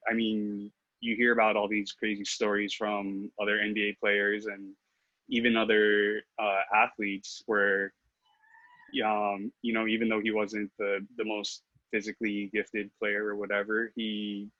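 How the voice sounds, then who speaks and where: A man's voice: lowish voice, pushed and bright, no room sound, dull audio. A man, raised in Los Angeles, chatting as a podcast guest, hesitant with many fillers and restarts. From Philippines, raised in Los Angeles. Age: 30s. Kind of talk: casual interview chat.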